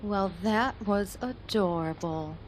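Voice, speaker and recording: A woman's voice: mid-range voice, slow, background noise, close to an everyday voice. A woman playing a teasing, friendly young university student in a radio play, wry and playful.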